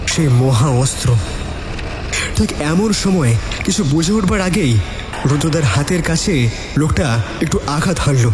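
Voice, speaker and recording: A higher-pitched male narrator tells a suspenseful detective tale in a dramatic first-person style. His voice carries a long, echoing reverb, with music playing underneath. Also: a little mellow. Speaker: story narrator.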